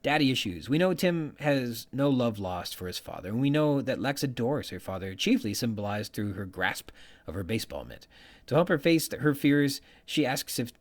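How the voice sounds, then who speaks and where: A man's voice: medium pitch, big pitch swings, normal speed. A man hosting a podcast, delivering scripted literary commentary with an emphatic delivery. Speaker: podcast host.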